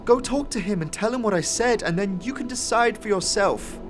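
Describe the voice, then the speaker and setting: A man's voice: high voice, soft background music, voice pushed up, natural voice. Man voicing a nervous, stammering young boy. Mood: pleading, insistent. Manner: stammering, halting.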